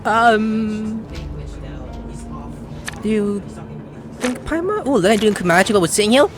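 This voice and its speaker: A woman's voice: mid-range voice, animated, a little slow. A woman hosting a gameplay video with casual, slangy, rambling commentary, reacting as she plays. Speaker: gaming video host. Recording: game music behind voice.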